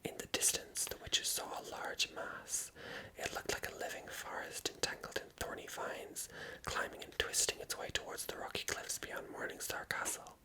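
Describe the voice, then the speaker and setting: An ASMR host reading aloud in a hushed, gentle, breathy whisper with no voiced tone. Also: normal speed, rough recording.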